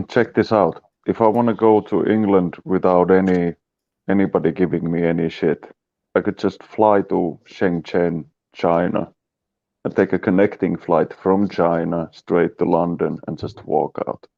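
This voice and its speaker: Gravelly low-voiced man. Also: a little slow, no room sound, muffled recording. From Finland. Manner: animated, acts out quoted reactions. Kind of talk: casual online chat.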